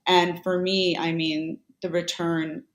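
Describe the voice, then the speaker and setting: Chatty woman in an echoey room. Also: fairly low pitch. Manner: chatty, quick asides and self-corrections, lively laughs. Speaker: podcast guest, Amazon seller. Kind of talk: casual conversational interview.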